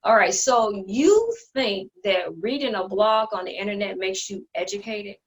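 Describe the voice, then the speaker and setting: A woman's voice: medium pitch, expressive, normal speed, natural voice, rough recording. A woman acting a haughty, dismissive sister with a mocking, condescending delivery in a dramatic play reading.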